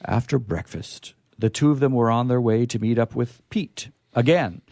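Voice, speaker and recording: A man's voice: medium pitch, moderate pace, warm tone, no room sound. A man telling a comic story and hosting a show, with playful, exaggerated delivery and offhand asides. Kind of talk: live comic story reading with sign-off.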